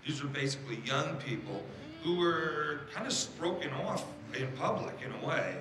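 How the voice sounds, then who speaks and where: A man's voice: a little high, very animated, moderate pace, background noise. A man giving casual commentary as an interviewee, in a roomy recording with music behind.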